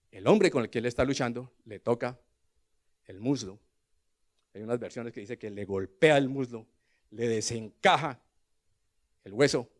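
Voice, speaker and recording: A man in his sixties with a Colombian accent preaching a sermon, telling scripture as a dramatic story and moving between hushed asides and loud, emphatic declarations. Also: mid-range voice. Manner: rhetorical questions to the congregation.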